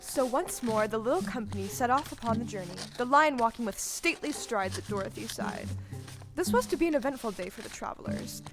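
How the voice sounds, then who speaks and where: High female voice, narrator, dramatic storybook delivery, audio play, clear music underneath. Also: animated, a little fast. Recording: music behind the voice.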